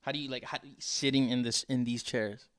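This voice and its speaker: A man's voice: medium pitch, steady tone, brisk, fairly bright tone, smooth. A man hosting a podcast in a casual, conversational style, rambling and joking as he goes. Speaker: podcast host.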